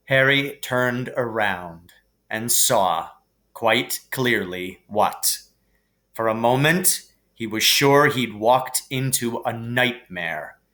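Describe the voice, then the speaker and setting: A man reading a children's story aloud as dramatic, deliberate, suspenseful narration. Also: mid-range voice, bright, forceful tone. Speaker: reader.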